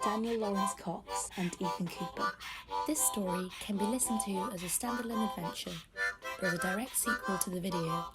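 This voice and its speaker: A woman's voice: mid-range voice, low-quality recording. A woman reading scripted narration for a story, as its narrator. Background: music.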